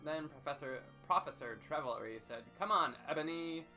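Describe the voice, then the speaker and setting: Bright-voiced man giving theatrical reading. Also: a little high, moderate pace, soft background music. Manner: theatrical, emphatic, with sudden shouts. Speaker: host reading fan fiction aloud. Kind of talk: over-dramatic comedic reading.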